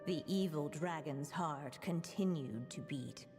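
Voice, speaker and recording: A woman playing a calm storybook narrator in a video game, telling a fairy tale in a measured, solemn way. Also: sits low. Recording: long reverb, game music underneath. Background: soft game music.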